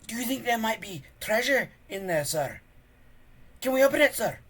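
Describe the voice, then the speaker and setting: Someone reading a storybook aloud, voicing an eager young Viking boy who chatters excitedly and pleads. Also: medium pitch, moderate pace, slightly rough, voice pushed up, full character voice. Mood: excited, pleading.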